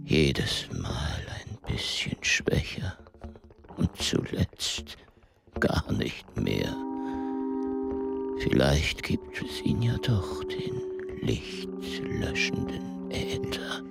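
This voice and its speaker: An actor in a German radio drama playing a frail, weary old explorer-scholar, weak and breathy, speaking in halting phrases with long pauses. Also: male voice, rumbling low, wildly swooping pitch, heavily acted. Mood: melancholy, resigned. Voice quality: weak, breathy, half-whispered. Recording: loud music under the voice. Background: music.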